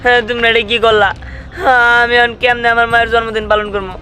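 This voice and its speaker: A woman's voice: a little high, flat delivery, fast, sharp tone. Woman voicing a meek pleading youngster. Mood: distressed. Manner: pleading, hesitant, repetitive. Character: meek pleading youngster, bullied, devoted to mother. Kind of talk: cartoon moral-story acting.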